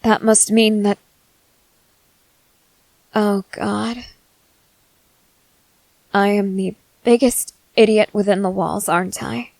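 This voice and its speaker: Girl voice acting a guarded young soldier woman, slow n hesitant, blunt but soft underneath, asmr roleplay, very dry sound. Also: mid-range voice, steady tone, vocal fry, no echo, poor audio. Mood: regretful. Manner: halting, hesitant, earnest delivery.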